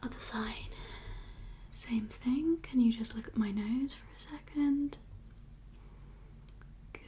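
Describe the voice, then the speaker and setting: A woman whispering as a doctor in an ASMR exam roleplay, giving gentle, reassuring instructions in a breathy voice. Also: fairly high pitch, takes it slowly, a little hiss or hum. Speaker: ASMR performer playing a doctor.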